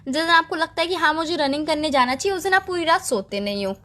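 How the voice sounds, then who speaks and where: A woman's voice: high voice, fast talker, pushed and bright. A young woman. She talks casually, as a girlfriend arguing on a call, and keeps piling up complaints in a nagging manner. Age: young adult. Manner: nagging, lists complaints at length. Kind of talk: casual relationship argument in call format.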